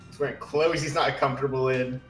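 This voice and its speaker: A man's voice: medium pitch, steady tone, brisk, soft background music. A man playing a tabletop roleplaying game, casually narrating what his character does, recorded on a roomy, distant microphone.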